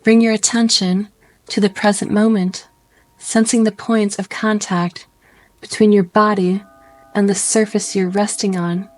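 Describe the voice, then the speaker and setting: A woman guiding a meditation in a gentle, soothing way, speaking in slow phrases with pauses. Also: mid-range voice, little pitch movement. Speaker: meditation guide. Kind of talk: guided meditation narration.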